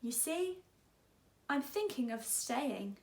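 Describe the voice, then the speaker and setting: A kindly old grandad from a children's storybook, as a woman reads him aloud. He is hearty and reassuring, speaking slowly in a raised pitch. The room echoes noticeably around him. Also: expressive, gravelly, heavily acted. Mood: gentle. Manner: booming calls, gentle reassurance.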